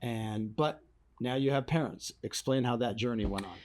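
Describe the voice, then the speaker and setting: A man's voice: medium pitch, normal speed, darkish tone. A man hosting an interview, introducing his guest and prompting him with encouraging affirmations. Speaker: interview host. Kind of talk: friendly interview.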